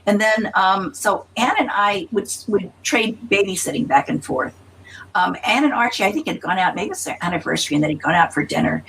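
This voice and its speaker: An older woman telling a story in a casual interview, lively, with frequent laughs and restarts. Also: mid-range voice, fairly quick, gravelly, slight background noise, poor audio. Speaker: interview guest.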